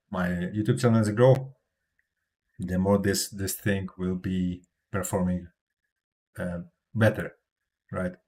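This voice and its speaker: Hesitant low-voiced European man. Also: darkish tone. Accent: non-native English. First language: not English. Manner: hesitant, many fillers and restarts. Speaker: crypto livestreamer. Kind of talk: casual livestream talk.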